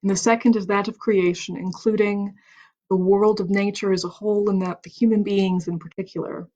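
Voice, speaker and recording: A woman hosting a live stream, reading a book aloud in a steady voice. She stumbles on some words, restarts them and stops for chatty asides. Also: mid-range voice, a little fast, muffled recording. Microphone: computer mic on a home live stream.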